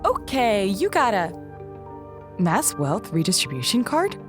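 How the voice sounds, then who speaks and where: An actress playing a brisk, competitive woman in an audio drama, sharp and combative. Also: mid-range voice, wildly swooping pitch, music in the background. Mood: brisk, incredulous. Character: brisk, competitive female game player.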